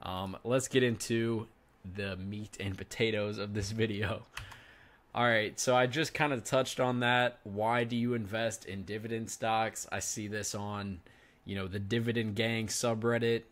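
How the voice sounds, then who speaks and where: A man's voice: on the low side, steady tone, a little slow, fairly bright tone. A man in his twenties giving casual reaction commentary as a finance video host, chatting to his viewers and calling them "guys" often. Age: 20s.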